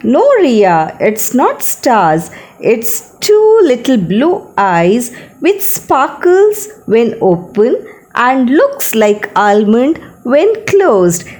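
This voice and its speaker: A woman voicing a sweet, playful younger sister in a children's story, a young girl doting on her doll, with a drawn-out sing-song delivery. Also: a little high, big pitch swings, a little mellow, heavily acted.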